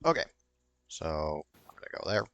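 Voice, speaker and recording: A man's voice: a bass voice, very animated, moderate pace, fairly bright tone, no echo. A man rambling through casual gameplay commentary, swearing and sounding wryly exasperated as he streams. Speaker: video game streamer.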